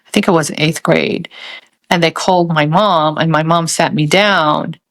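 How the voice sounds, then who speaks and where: A woman who grew up in Saudi Arabia, telling a personal story in a thoughtful, halting way with many pauses. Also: low-pitched, fairly even intonation. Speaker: podcast host.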